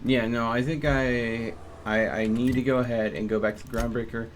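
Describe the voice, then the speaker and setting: A man's voice: medium pitch, steady tone, normal speed, a little hiss or hum. A young man streaming a game, chatting casually with a rambling, hesitant manner. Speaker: gaming streamer. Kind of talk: casual live-stream commentary.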